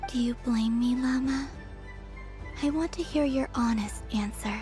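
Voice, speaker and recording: A woman voicing a quiet, ethereal young woman in a video game, speaking in a soft, breathy hush. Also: high voice, a little slow, soft background music. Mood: wistful.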